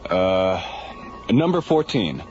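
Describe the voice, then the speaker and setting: Lively space station controller, dull audio. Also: male voice, low-pitched, takes it slowly, full character voice. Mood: businesslike. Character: easygoing male space station flight controller. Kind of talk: radio drama acting. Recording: dull radio recording with music behind.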